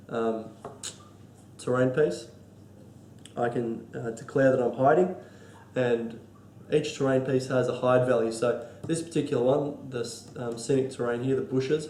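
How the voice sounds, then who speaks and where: An Australian man with a cold and a stuffy nose, explaining board game rules in a halting, casual way full of ums and restarts. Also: medium pitch, dark tone, echoey room, a little hiss or hum. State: congested from a cold. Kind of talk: casual tabletop rules walkthrough.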